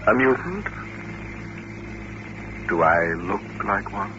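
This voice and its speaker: An actor in a 1950s radio drama playing a lonely, quietly insistent male mutant hitchhiker who controls minds. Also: lowish voice, sing-song, takes it slowly, lo-fi sound. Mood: guarded, probing. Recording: noisy, band-limited vintage radio recording.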